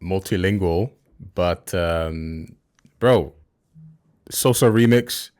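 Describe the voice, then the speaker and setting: Deep-voiced man hosting an interview. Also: drawn-out words, darkish tone, clean tone. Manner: friendly, calls guest bro. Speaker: host. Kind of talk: casual interview chat.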